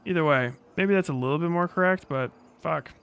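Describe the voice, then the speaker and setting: A man's voice: a little high, fairly quick, creaky, a bit bright, smooth. A man in his thirties hosting a casual livestream, rambling and swearing freely as he comments. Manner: profane, rambling. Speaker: livestream host.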